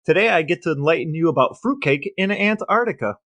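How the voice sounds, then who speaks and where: A man's voice: fairly high pitch, expressive, quick pace, fairly bright tone, no room sound. A man co-hosting a podcast, relaying researched facts in a dry, matter-of-fact way. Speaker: podcast co-host. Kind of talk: informative podcast talk, reading out researched facts.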